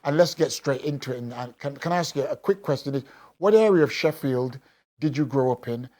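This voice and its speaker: A man's voice: mid-range voice, a little fast, darkish tone. A man presenting a local television interview, asking plain, stacked questions.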